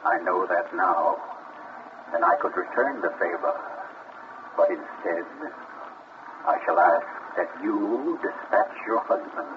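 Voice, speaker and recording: A man's voice: low voice, slow pace. An actor playing a suave, sinister man who relishes poison, in 1940s radio acting style. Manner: urbane, relishing, theatrical. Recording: muffled 1940s radio broadcast with hiss.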